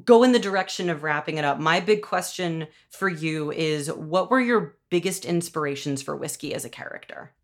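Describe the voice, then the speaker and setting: A woman hosting a podcast, chatting casually in an animated, emphatic and enthusiastic way. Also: low voice, normal speed, hardly any pauses. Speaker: podcast host and audio drama showrunner. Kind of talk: casual interview chat.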